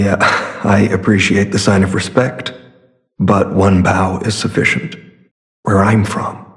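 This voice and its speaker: A secretive masked hunter from a video game, with a fairly low voice and a creaky edge. He speaks formally and gravely, choosing his words with earnest care. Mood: courteous, calm. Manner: measured, formal, solemn. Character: secretive, earnest masked warrior hunting corrupt alchemists. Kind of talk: scripted game character dialogue.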